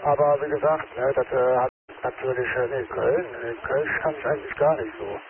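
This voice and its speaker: A man's voice: mid-range voice, moderate pace, crowd noise. A man with a northern German accent chatting casually over amateur radio from home, often tagging his sentences with "ne?". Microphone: handheld radio transceiver. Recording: narrow radio band with static and background noise.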